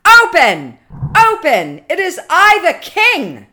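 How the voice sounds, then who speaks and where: A woman's voice: very high-pitched, wildly swooping pitch, slow, bright, forceful tone, pitched above the performer's own voice, exaggerated voice. A woman voicing a proud, angry, sneering male king in a storybook tale. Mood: furious shouting.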